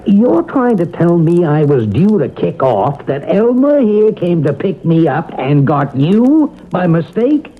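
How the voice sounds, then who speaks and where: A man's voice: high-pitched, very animated, unhurried, hardly any pauses, full character voice. An actor playing a cantankerous, sarcastic sick man who refuses to die, in classic radio drama style. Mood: skeptical. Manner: sarcastic, scornful, blustery. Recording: dull, lo-fi old radio recording.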